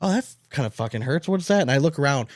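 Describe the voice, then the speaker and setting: A man's voice: on the high side, lively intonation, very fast, dry recording, clean studio sound. Profane, laid-back podcast guy. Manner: loose, profane storytelling. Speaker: podcast co-host. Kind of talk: casual banter.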